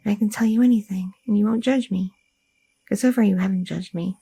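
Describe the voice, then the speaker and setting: Woman acting an anxious, sweet goth girlfriend, softly creaky. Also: mid-range voice, fairly quick. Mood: apologetic, affectionate. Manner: hesitant, stammering, self-interrupting, repeating words. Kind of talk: ASMR audio roleplay.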